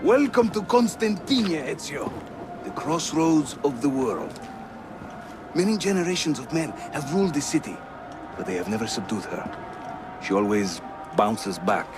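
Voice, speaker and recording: Jovial, lively Turkish assassin. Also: male voice, fairly high pitch, a little slow, over music. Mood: playful, proud. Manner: theatrical, teasing. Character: jovial, roguish Ottoman Turkish assassin guide. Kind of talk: video game cutscene acting.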